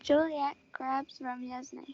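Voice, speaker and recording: Casting: female narrator, very high voice with fry, scripted school play reading, dry lo-fi audio. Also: steady tone, slow, a little mellow. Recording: dull lo-fi audio.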